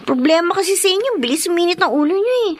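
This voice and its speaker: A woman playing a patient, caring fifteen-year-old daughter in a radio drama, earnest and gently chiding. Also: very high voice, expressive, fast talker, dry recording.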